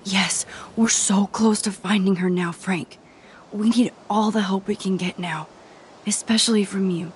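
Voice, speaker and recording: An actress playing an earnest, conciliatory young woman in a video game, speaking in a gentle, pleading, diplomatic manner. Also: mid-range voice, steady tone, a little slow, slight background noise, natural voice. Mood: earnest, urgent. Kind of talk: dramatic video game voice acting.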